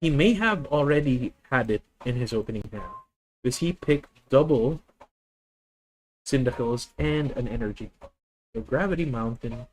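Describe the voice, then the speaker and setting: A man's voice: mid-range voice, expressive, moderate pace, darkish tone, poor audio. Filipino man thinking aloud over a card game. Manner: thinking aloud, methodical, self-correcting. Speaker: streamer. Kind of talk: live deck-test commentary.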